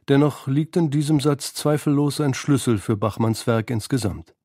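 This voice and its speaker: A man narrating a scripted radio documentary in a measured, analytical manner, close to a studio microphone. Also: medium pitch, dark tone, no echo. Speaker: feature narrator.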